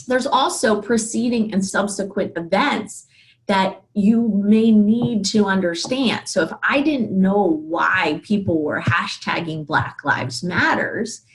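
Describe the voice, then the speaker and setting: A woman's voice: mid-range voice, slow, low-quality recording. A woman lecturing in a conversational, explanatory way, thinking aloud and often checking in with rhetorical questions. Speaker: university lecturer.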